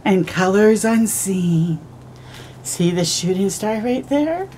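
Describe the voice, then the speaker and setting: Slow, mellow middle-aged woman reading aloud. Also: mid-range voice, slight background noise. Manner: expressive, slow storytelling with gasps and drawn-out words. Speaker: children's storytime host. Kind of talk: read-aloud of a picture book with asides. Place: outdoors, porch or yard in early morning.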